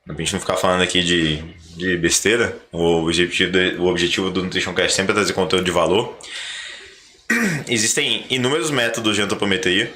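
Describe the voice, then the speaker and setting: A Brazilian man hosts a podcast in a conversational, explanatory style. His voice sits fairly low but has a bright, forceful edge. There is some background room noise. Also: steady tone, a little fast. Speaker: podcast host.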